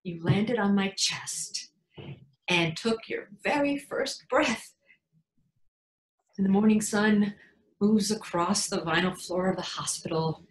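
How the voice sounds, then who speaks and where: A woman acting a tender, excitable new mother in her thirties, telling her baby a story in an intimate, halting theatrical monologue. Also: medium pitch, echoey room, close to an everyday voice, poor audio. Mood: awed, tender. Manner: emotive, halting, intimate storytelling with dramatic swells.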